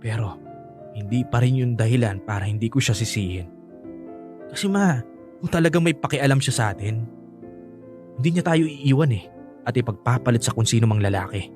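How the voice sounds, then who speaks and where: A man's voice: mid-range voice, animated, a little fast, pauses often, a little mellow, soft background music, natural voice. Man acting bitter, insistent young son. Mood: hurt, insistent. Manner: heated, insistent, emphatic. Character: bitter, resentful young adult son, protective of mother. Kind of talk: radio drama acting.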